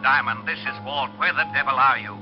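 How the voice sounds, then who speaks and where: An actor playing a blunt, exasperated police lieutenant with clipped delivery through a filter in an old radio drama. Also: male voice, medium pitch, fairly even intonation, brisk, dull audio, over loud music. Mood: brusque. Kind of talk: 1950s radio drama acting.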